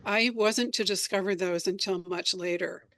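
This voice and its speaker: A woman's voice: medium pitch, steady tone, moderate pace, fairly bright tone, a little hiss or hum. A woman being interviewed, telling her recollections in an articulate, storytelling way. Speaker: interview guest, radio drama writer and performer. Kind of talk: reminiscing interview answers.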